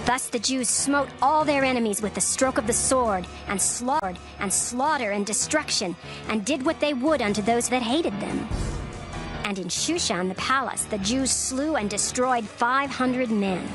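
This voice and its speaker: A woman reads scripture in a steady, formal way, pacing every phrase carefully. Her voice sits slightly high, and clear music runs underneath throughout. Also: a little slow, rough recording. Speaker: narrator.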